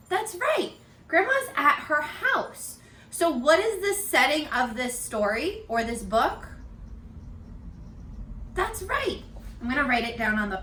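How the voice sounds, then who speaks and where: A woman with a fairly high, bright voice leads a children's read-aloud lesson. She speaks expressively and encouragingly, at an unhurried pace, like a teacher with young pupils. The sound is roomy, recorded in a living room at home. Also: slight background noise. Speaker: elementary school teacher. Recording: roomy, echoey home video.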